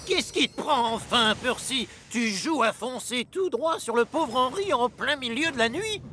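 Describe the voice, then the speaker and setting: A man's voice: extremely high pitch, lively intonation, a little slow, background noise. A man playing a grumpy, haughty steam engine who scolds in exasperation in a cartoon dub.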